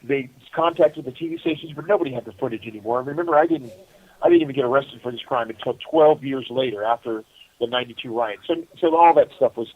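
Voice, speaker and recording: Middle-aged American man, prison-interview guest, phone-line sound, halting casual storyteller, mid pitch. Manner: halting, conversational, repeats "you know". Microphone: telephone. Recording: muffled phone call from prison.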